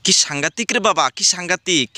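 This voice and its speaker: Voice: mid-range voice, a little fast, sharp tone, dry recording, clean studio sound. A voice actor playing an exasperated male schoolteacher in a cartoon, scolding his pupils and exclaiming at their answers. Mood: stern. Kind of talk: cartoon voice acting.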